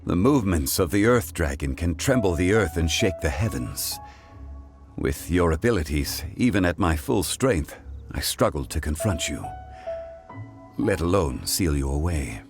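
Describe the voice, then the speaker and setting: An actor playing an ancient god in human form in a video game, composed and dignified, with measured, formal speech. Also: male voice, low voice, lively intonation, over music. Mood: calm, dignified. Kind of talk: dramatic video game voice acting.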